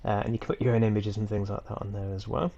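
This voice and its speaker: A man with a stuffy head cold talks in a low, gravelly voice with a mellow, dark tone. He explains things in a loose, unhurried way, full of ums and restarts. The sound is a dull, lo-fi computer-mic recording made at home. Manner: relaxed, rambling, with frequent ums and small self-corrections. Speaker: tutorial host. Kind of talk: casual screen-recorded tutorial. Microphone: computer mic for a screen recording.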